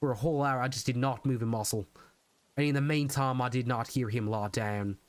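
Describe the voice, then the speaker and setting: A man acts out an unhinged murderer who proudly insists on his sanity. His medium-pitched voice is theatrical and deliberate, leaning on pauses and emphatic repetition. It is a Gothic horror monologue, recorded very dry. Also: fairly even intonation, no room sound, close to an everyday voice. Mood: tense, sly. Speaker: VTuber practicing voice acting.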